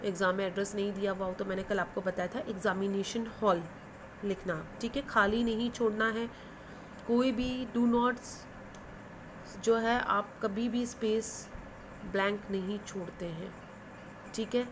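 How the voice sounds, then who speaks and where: Indian woman teacher over loud background noise. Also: mid-range voice, fairly even intonation, a little slow. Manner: instructive, repeats and translates phrases, frequent check-in tags. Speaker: English teacher for school students. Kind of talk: explanatory lesson mixing Hindi with English phrases.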